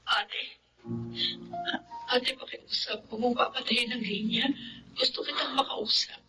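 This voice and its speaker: A woman's voice: on the high side, fairly even intonation, unhurried, bright, forceful tone, soft background music, low-quality recording. A woman playing a kind, forgiving married younger sister in a Filipino radio drama, with earnest, emotional delivery. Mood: tearful, pleading.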